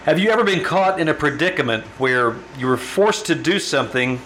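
A man playing a probing, measured psychiatrist in an old-time radio drama, asking questions deliberately. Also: fairly high pitch, animated, sharp tone, slight background noise, poor audio. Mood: clinical, probing.

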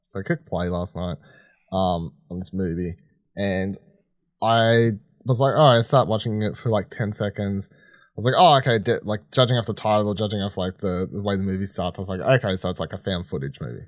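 A man's voice: low-pitched, a little fast, no echo, clean studio sound. A man co-hosting a podcast, giving a casual, rambling review full of filler phrases like "sort of". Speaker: podcast co-host reviewing films.

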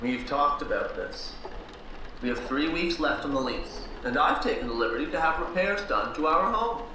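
A man's voice: a little high, animated, moderate pace, close to an everyday voice. A man playing a patronizing, controlling physician husband in a period radio play, with a formal, cajoling, condescending manner. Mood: placating, firm. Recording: very echoey room, faint noise.

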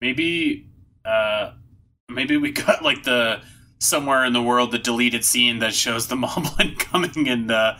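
Man, very sharp bright tone, mid pitch, casual slangy podcast host, comedic hyperbole. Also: unhurried. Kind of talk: casual comedic recap chat.

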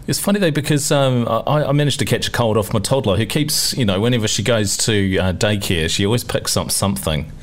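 Young NZ male podcast co-host, low voice, loose and chatty, lots of restarts. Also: a little fast, few pauses. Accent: New Zealand. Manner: chatty, laughs often, repeats words when starting. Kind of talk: casual chat. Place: apartment with street traffic.

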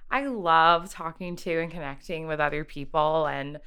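Woman podcast co-host, casual chat, extremely clean and smooth tone with a bright edge. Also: sits low, moderate pace. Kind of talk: casual conversational interview.

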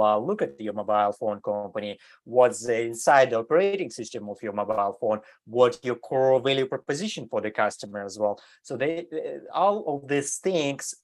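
A man who grew up in Germany, answering interview questions in non-native English with many fillers and restarts. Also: mid-range voice. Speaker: design entrepreneur, guest. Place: California.

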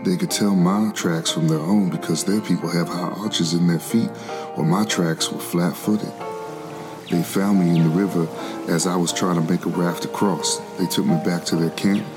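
An actor playing a weary, determined man who escaped slavery in the 1700s, narrating his flight in a measured, solemn stage style. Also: low-pitched, steady tone, over music, close to an everyday voice. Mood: reflective. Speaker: narrator in a gospel oratorio. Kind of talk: dramatic scripted first-person narration.

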